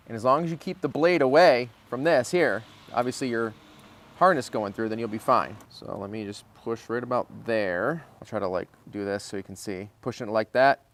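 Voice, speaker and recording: A man with an American accent and a medium-pitched voice narrates a do-it-yourself car job. He speaks informally, like someone working with his hands and explaining each step as he thinks it through. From Michigan, United States. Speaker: DIY car repair video host. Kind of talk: casual hands-on how-to narration.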